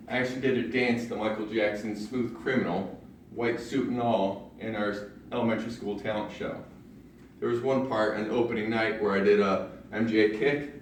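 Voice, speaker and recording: A young man from Ohio with a deep voice, giving a prepared personal speech with self-deprecating jokes at a public speaking club. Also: recorded from a distance, slight background noise. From Ohio, USA. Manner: self-deprecating humor, storytelling with punchlines. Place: large meeting room in a library. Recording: very echoey room, recorded from afar.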